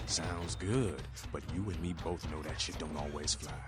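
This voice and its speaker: With music behind him, a low-voiced vampire rebel for a video game. He is a streetwise war veteran who preaches bluntly in street slang. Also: normal speed. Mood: defiant, persuasive. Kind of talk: video game character dialogue. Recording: background music under the voice.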